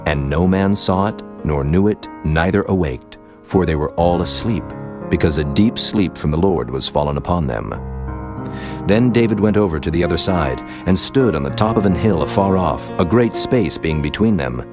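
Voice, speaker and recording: Deep-voiced male Bible narrator over music. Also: expressive, moderate pace.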